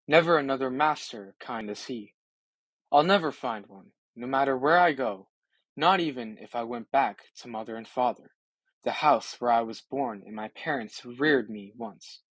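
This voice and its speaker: A man reading epic poetry aloud as an amateur audiobook narrator, phrasing it earnestly with dramatic pauses. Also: medium pitch, a bit bright, dry recording.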